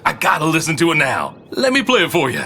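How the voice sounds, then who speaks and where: An actor playing an excitable, friendly podcast superfan in a game world, chatting eagerly straight to the listener. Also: male voice, on the high side, quick pace, gravelly, full character voice. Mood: excited. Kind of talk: animated game promo acting.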